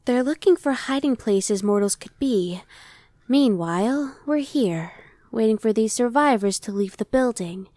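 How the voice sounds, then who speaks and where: Casting: woman to play a laid-back, dry-witted she-demon for ASMR roleplay, voice a bit high. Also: expressive, unhurried, dark tone, no room sound. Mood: casual, resigned. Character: young female demon scout Evanescence, casual, dry-witted, inwardly brooding. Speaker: voice actor. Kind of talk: ASMR roleplay audio drama.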